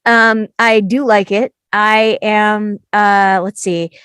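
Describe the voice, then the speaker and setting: A woman's voice: medium pitch, fairly even intonation, slow, a bit bright, smooth, dry recording, clean studio sound. A woman casually introducing herself to a group, chatty and self-deprecating. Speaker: cast member reading action descriptions.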